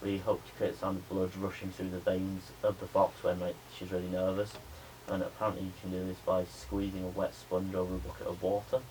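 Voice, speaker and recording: A young adult man, a media student giving a spoken pitch presentation to a class. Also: monotone, a little fast, few pauses, a little mellow. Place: indoor room with a small audience. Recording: noisy room recording.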